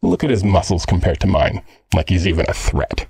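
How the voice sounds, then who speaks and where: A man's voice: sits low, steady tone, a little fast, a little mellow, breathy edge, no echo, clean studio sound. A man playing a teasing, jealous, slangy android boyfriend in an ASMR roleplay. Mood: jealous, sulky. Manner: cheeky, slangy, sardonic.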